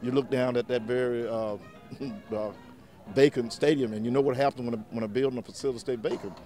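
A man's voice: mid-range voice, steady tone, fairly quick, warm tone, soft background music. An older man school board member giving a plain-spoken outdoor interview. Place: outdoor football field.

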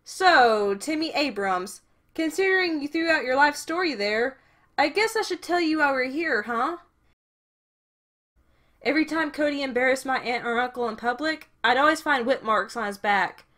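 Actress as a high-voiced, frank young woman. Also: lively intonation, normal speed, fairly bright tone. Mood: earnest, protective. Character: frank, protective young woman in a dealer crew. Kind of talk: audio drama acting.

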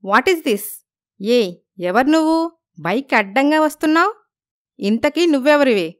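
Haughty high-voiced young girlfriend, played by a woman. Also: expressive, unhurried, no echo, studio quality. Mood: suspicious, indignant. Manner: pert, mixes in English phrases.

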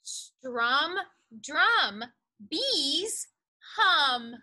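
Bright, high-voiced woman reading to young children. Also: sing-song. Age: young adult. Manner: sing-song, deliberately enunciated, emphatic on rhyming words. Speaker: storyteller reading a picture book to children. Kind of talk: read-aloud for young children. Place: outdoors in a leafy backyard.

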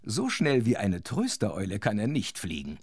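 An actor playing a wry, chatty storyteller who narrates a children's audio drama with playful asides. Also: male voice, on the low side, wildly swooping pitch, moderate pace, darkish tone, husky, dry recording, clean studio sound, exaggerated voice. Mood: wry, animated.

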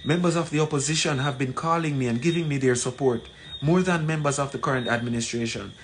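A man in his forties with a Jamaican accent gives an emphatic, deliberate address to camera, repeating phrases for weight. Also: medium pitch. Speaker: community leader. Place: small plain room indoors. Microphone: phone at arm's length. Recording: noisy room, ambient background sound.

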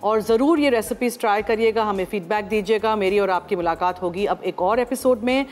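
A woman's voice: medium pitch, fairly quick, soft background music. A middle-aged woman with a Pakistani accent hosts a TV cooking show from a studio kitchen, mixing Urdu with English as she cooks. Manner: chatty, switches freely into English.

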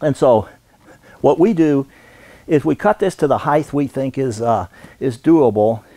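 An American man in his sixties giving an unscripted instructional demonstration in a halting, folksy way. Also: mid-range voice, lively intonation, mellow, dry recording. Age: 60s. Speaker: radon supply business owner and instructor. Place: indoor warehouse.

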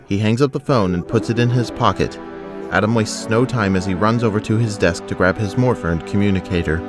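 A man's voice: lowish voice, a little fast, music in the background, natural voice. A man narrating an action audio drama in a sober, scripted way.

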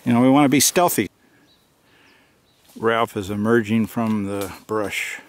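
A man's voice: lowish voice, a little slow. Guy narrating his hike on camera, chatty, out in a grassy field. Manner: chatty, running commentary. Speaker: hiker filming a Bigfoot search. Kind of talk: casual narration while filming. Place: open grassy field outdoors at dusk. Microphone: handheld camera mic.

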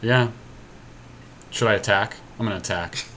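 A man giving casual game commentary with a friend, teasing and thinking his moves out loud. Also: fairly low pitch, moderate pace, slight background noise. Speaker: podcast host playing an online card game.

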